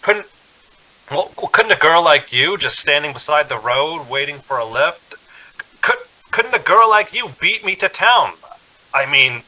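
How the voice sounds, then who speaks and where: A man's voice: on the high side, animated, unhurried, bright, forceful tone, exaggerated voice, poor audio. An actor playing a haunted, anxious young man driving cross-country, speaking in a tense, hesitant radio drama style. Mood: nervous, halting. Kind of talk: old-time radio drama acting with narration.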